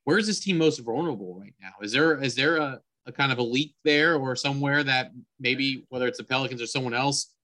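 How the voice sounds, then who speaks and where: A man's voice: mid-range voice, a little fast, few pauses, sharp tone. A man hosting a sports interview in a conversational broadcaster's style. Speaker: sports podcast host and team radio announcer.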